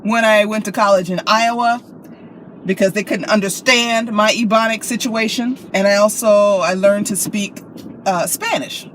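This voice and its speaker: An American woman vlogs casually from inside a car, with clear vehicle noise around her. Her voice sits at an average pitch, and she tells stories in a playful, expressive, animated way. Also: moderate pace, low-quality recording. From Chicago, United States. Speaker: vlogger and actress. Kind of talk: casual chatty vlog.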